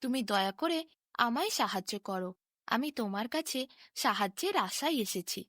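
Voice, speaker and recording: A woman's voice: on the high side, lively intonation, normal speed, fairly bright tone, dry recording, studio quality. A woman voicing an earnest, pleading king in a storybook fairy tale, solemn and imploring.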